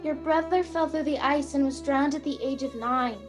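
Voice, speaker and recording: A woman playing a gentle, dutiful guardian angel in an amateur radio play. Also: high voice, normal speed, music in the background, natural voice. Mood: solemn.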